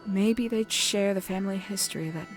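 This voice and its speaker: A woman voicing a composed, calculating young woman in a video game, speaking in a measured, formal, theatrical way as she confesses. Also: medium pitch, music in the background, lightly acted. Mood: wistful, bitter. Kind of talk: dramatic scripted confession monologue.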